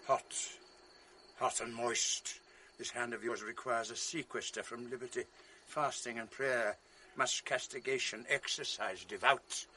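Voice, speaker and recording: An actor playing a jealous noble general in a radio drama, grave and commanding with a resonant stage delivery. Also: male voice, medium pitch, steady tone, a little slow, pushed and bright, a little hiss or hum. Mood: brooding. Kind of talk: 1980s radio drama acting.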